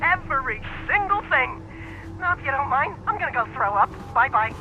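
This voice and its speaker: A man's voice: extremely high pitch, big pitch swings, normal speed, narrow, tinny audio, slight background noise. A man playing an anxious, sarcastic scientist in a video game, fretful and exasperated. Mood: dread, sarcasm. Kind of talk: animated video game acting.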